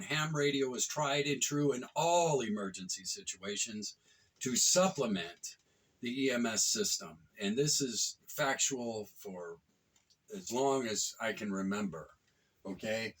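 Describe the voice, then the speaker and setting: A man giving a casual, folksy product review, talking emphatically with a husky, rough-edged voice. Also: medium pitch, recorded from a distance.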